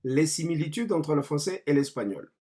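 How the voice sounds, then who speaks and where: A man's voice: fairly high pitch, animated, moderate pace, dark tone, slightly rough. A man narrating a scripted explanatory lesson as a language teacher, in a didactic manner with foreign terms mixed in. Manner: didactic, mixing in French terms.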